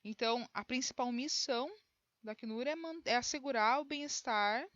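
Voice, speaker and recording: A woman from Brazil, a high school geography teacher, explaining a lesson in a conversational way with frequent tag questions and restarts. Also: normal speed, a bit bright, no echo, highs cut off. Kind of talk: recorded online lesson.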